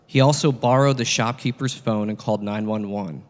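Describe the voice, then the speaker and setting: A man playing a patient, earnest teacher of parables in a satirical dramatic reading, solemn and deliberate, with long echo around the voice. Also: lowish voice, lightly acted. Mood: solemn storytelling. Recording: long reverberant hall echo.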